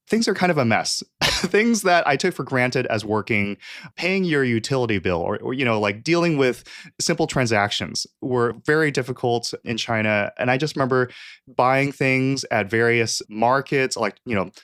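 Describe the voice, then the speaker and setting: A young adult man from California, a guest talking through his background in a relaxed podcast interview, with lots of "you know" fillers and light laughs. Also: mid-range voice, no room sound, studio quality. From California, USA. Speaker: guest expert.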